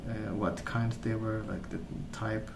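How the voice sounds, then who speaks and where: A young man rambling in a gentle, soft-spoken ASMR style, musing aloud without hurry. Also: lowish voice, level tone, a little slow. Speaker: ASMR video creator. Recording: echoey room, clear background noise.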